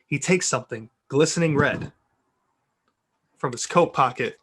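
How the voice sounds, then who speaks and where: A man reads screenplay narration aloud with theatrical flair. His pitch is middling, and he sounds amused, ready to step out of the text for a quick aside. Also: fairly bright tone, breathy edge. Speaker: reader of narration and stage directions. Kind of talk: dramatic reading of a film script.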